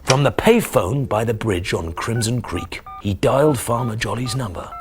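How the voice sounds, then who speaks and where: A man in his forties reading a children's bedtime story expressively, with dramatic, hushed emphasis. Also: medium pitch, lively intonation, moderate pace. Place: small TV studio kitchen set, indoors. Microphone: lapel mic.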